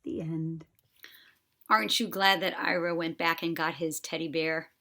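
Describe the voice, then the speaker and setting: A middle-aged woman, an expressive storyteller reading aloud for young children. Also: sits low, measured pace, gravelly.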